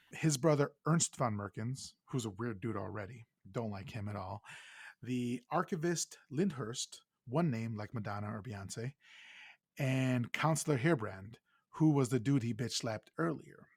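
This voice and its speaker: A man's voice: medium pitch, slightly rough, no echo, clean studio sound. A man hosting a podcast, casually recapping and discussing a film with informal, salty asides. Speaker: podcast host.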